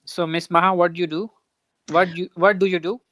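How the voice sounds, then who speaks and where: A man from Karachi, a student speaking politely and haltingly on a video call. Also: sits high, flat delivery, a bit bright, no room sound. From Karachi, Pakistan. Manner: polite, halting, says ma'am. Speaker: student on a live class.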